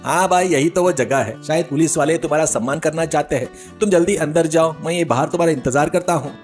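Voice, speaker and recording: A sly village buddy from a comic tale, played by a man, with a fairly high voice. He flatters and urges his pal along over background music. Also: fast, close to an everyday voice. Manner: flattering, urging.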